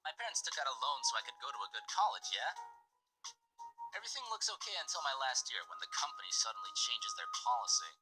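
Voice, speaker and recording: A man's voice: medium pitch, very animated, quick pace, over music, close to an everyday voice, poor audio. An actor playing a bitter, guilt-ridden young man in a mystery visual novel. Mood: resentful. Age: young adult.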